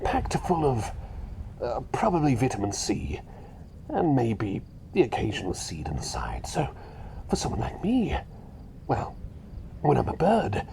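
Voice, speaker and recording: Guy doing a chatty fussy bird doctor for asmr, breathy half whisper w/ gravelly fry, repeats himself, noisy background. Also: medium pitch, wildly swooping pitch, unhurried, long pauses, dark tone, full character voice. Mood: pleased, musing. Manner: rambling, repeats himself, politely donnish. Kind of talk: ASMR roleplay, hushed personal attention.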